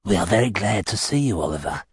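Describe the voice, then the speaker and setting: A man voicing an oily, ingratiating old crook in a storybook, with a creaky, rough-edged voice. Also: on the low side, expressive, fast, no echo. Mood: oily, wheedling. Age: older. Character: merry old gentleman, wheedling crook with a gang of boys. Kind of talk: dramatised audiobook character voice.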